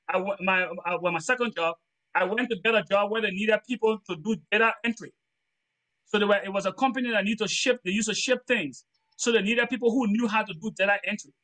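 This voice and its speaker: A man's voice: sits high, fairly quick, pushed and bright, poor audio. A middle-aged man from Liberia with a Liberian accent, giving an earnest, preachy motivational talk during a training lesson and repeating his phrases for emphasis.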